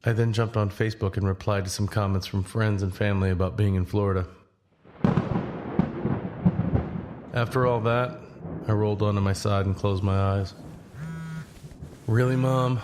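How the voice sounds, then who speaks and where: A man playing a guarded, easygoing young hiker who narrates his own journey in a low-key, conversational way. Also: steady tone, normal speed, noisy background, lightly acted. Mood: wry, weary. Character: John, easygoing but guarded young man hiking long-distance. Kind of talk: first-person audio drama narration and dialogue. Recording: music behind the voice.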